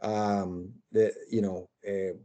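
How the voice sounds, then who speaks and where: A man's voice: lowish voice, level tone, takes it slowly, dark tone, dull audio. A man from Colombia with a Barranquilla accent, a podcast guest chatting casually and rambling with many fillers and restarts. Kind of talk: casual chat.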